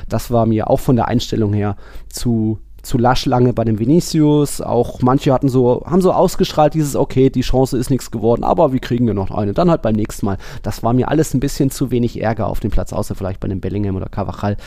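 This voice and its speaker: A man's voice: medium pitch, fairly quick, mellow, dry recording, studio quality. A man co-hosting a football podcast, giving his opinions in casual, colloquial analysis.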